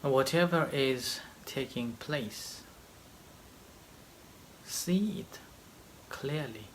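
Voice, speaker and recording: Male meditation teacher, slow delivery, short phrases, long pauses, light background noise. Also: medium pitch, low-quality recording. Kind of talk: guided meditation, slow gentle instruction.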